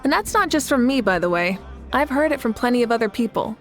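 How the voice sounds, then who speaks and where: A woman's voice: on the high side, expressive, fast talker, close to an everyday voice. An actress playing a confident, playful young woman leader in a video game, with an assured, teasing manner. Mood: friendly. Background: game music.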